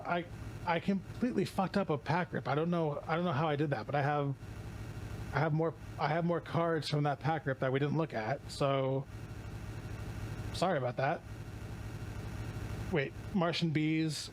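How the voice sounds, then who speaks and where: A hoarse man streaming casually while opening card packs, rambling, swearing and often restarting his sentences. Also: fairly high pitch, background noise.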